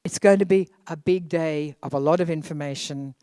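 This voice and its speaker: A facilitator calmly briefing a group, speaking in an instructive way. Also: medium pitch, normal speed, dry recording, clean studio sound. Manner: calm, instructive.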